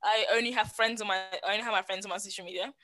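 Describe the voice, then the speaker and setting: A woman, co-hosting a podcast in casual, slangy chat full of animated storytelling and quoted asides. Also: medium pitch, very fast, dry recording. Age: 20s. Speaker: podcast co-host.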